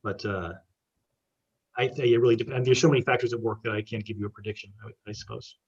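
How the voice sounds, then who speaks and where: A man's voice: fairly low pitch, fairly even intonation, fast talker, darkish tone, husky, highs cut off. A man answering academic questions as a researcher, tentative and often correcting himself. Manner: tentative, hesitant, self-correcting.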